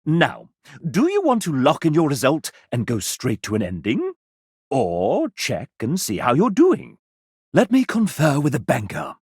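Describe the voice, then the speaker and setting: Sassy, wildly swooping game show host. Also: male voice, on the high side, wildly swooping pitch, measured pace, darkish tone, husky, no echo, clean studio sound, full character voice. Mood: arch, mock-regretful. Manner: grandiloquent, arch, melodramatic. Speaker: voice actor playing a game show host character. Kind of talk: theatrical video game character acting as a game show host.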